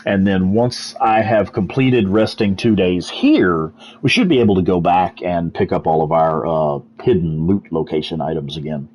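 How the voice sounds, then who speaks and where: A man's voice: sits low, lively intonation, normal speed, hardly any pauses, darkish tone, a little hiss or hum. A man with a Texas accent giving laid-back, chatty live commentary over gameplay as a streamer, answering chat and swearing casually. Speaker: gaming streamer.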